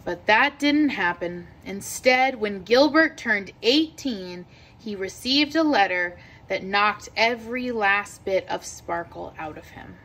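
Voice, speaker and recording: A woman reading a children's picture book aloud with expressive, deliberate storytelling delivery. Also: medium pitch, slight background noise, low-quality recording. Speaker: read-aloud teacher.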